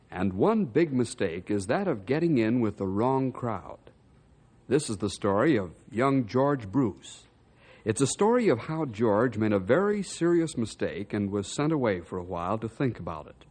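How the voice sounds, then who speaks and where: A man's voice: mid-range voice, a little mellow. A man narrating a western radio adventure in a dramatic, declamatory old-time announcer style. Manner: declamatory, emphatic.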